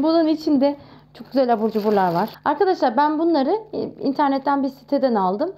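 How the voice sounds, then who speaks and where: A woman in her thirties talking casually to camera in a chatty, expressive way, showing off nostalgic things. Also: high-pitched, dull audio. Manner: chatty and expressive, with frequent exclamations. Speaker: vlogger.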